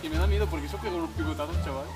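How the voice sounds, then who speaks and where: Loud, teasing young Spanish man outdoors. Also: on the high side, very fast, soft background music. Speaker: friend of the host. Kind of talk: casual banter. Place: outdoors by a dry riverbed.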